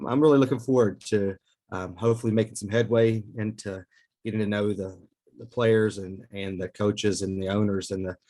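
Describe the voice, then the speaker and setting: A man in his forties, a pastor, introducing himself on a podcast in an earnest, measured way. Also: lowish voice, darkish tone. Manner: earnest, measured, with many fillers.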